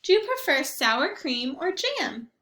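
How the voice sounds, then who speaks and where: A woman's voice: high voice, big pitch swings, measured pace, bright, forceful tone, echoey room, a little hiss or hum, pitched above the performer's own voice, full character voice. A woman voicing a kindly, fussing old grandmother who cannot see or hear well. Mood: fond. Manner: fond, fussing and sing-song. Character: kindly old woman, half blind and half deaf, chatty.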